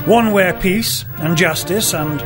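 An actor playing a prim, idealistic starship officer who makes long earnest speeches in a comedy radio play. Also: male voice, sits high, slow, over music. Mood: earnest, proud.

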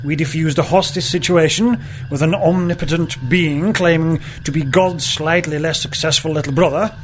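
Man playing a high-voiced earnest starship officer. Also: fairly even intonation, moderate pace, slight background noise, close to an everyday voice. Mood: earnest, proud. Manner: earnest, speechifying. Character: prim, idealistic, long-winded male starship officer. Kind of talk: comedy radio play acting.

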